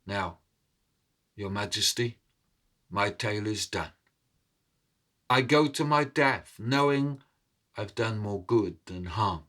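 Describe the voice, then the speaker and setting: A man as a solemn, slow-spoken old thief. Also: on the low side, lively intonation, pushed and bright, exaggerated voice. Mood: solemn, resigned. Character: weary, proud old thief recounting his deeds. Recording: cello and violin music behind.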